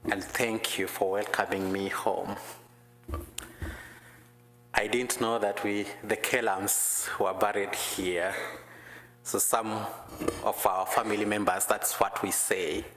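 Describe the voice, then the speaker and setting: Guest preacher, man, non-native English speaker, low voice, slow deliberate delivery, church echo. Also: level tone, fairly bright tone, slight background noise. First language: not English. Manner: slow, deliberate, careful phrasing. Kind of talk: prayer and sermon. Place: church sanctuary. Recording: echoey church hall.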